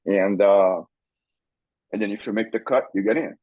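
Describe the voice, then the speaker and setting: A man from Brazil with a Brazilian Portuguese accent, a podcast guest telling his story in a relaxed, easygoing way. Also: fairly low pitch, moderate pace, no echo, narrow, tinny audio. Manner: relaxed, chuckles often, repeats words as he thinks. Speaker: farrier school director, guest. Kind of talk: casual interview storytelling.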